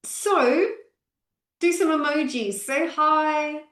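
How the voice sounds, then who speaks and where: Woman in her forties, fairly high voice, upbeat live-stream coach, echoey room. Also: slow pace, fairly bright tone. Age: 40s. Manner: upbeat, greets viewers by name. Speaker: nutrition coach.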